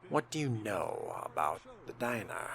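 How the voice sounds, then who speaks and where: A man with a lowish, creaky voice speaks in character as a cool vampire fledgling in a video game. His delivery is measured, polite and dry, with breathy phrases. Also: wildly swooping pitch, fairly bright tone. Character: cool, courteous young male vampire fledgling.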